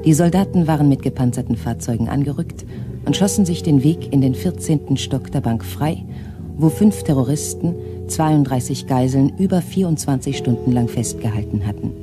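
A man's voice: medium pitch, normal speed, over music, lightly acted. A man playing a formal radio newsreader. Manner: flat, formal reading. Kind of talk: news announcement in a radio play.

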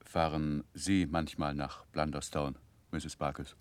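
Earnest, low-voiced young gentleman. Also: little pitch movement, clear, resonant tone, no echo. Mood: polite, coy. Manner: measured, polite, earnest. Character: earnest, fond young gentleman narrating his memories. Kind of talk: 1960s German radio play acting and narration. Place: dry radio studio.

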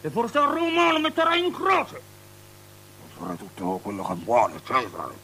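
A capricious, lustful tetrarch in a radio play. The voice sits high and lurches from declamatory outbursts to breathy murmurs. A faint hum and noise sit on the old recording. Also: male voice, huge pitch swings, normal speed, sharp tone, heavily acted. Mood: agitated boasting. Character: capricious, lustful, superstitious male tetrarch, unstable and self-pitying. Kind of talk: avant-garde theatrical radio drama acting. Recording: noisy, humming old radio drama recording.

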